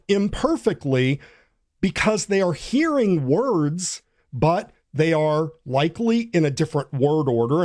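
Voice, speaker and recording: Earnest slow-talking man host. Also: sits high, darkish tone. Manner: earnest, exhorting, with restarts and fillers. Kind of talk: talk on church language.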